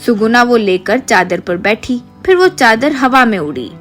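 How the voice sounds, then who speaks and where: A woman reading scripted narration for an animated moral story. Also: a little high, measured pace. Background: light music.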